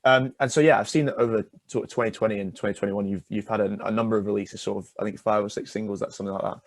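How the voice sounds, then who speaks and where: A man's voice: fairly low pitch, fairly even intonation, racing, no echo. A young man hosting a casual radio interview, asking wordy, tentative questions in an encouraging way.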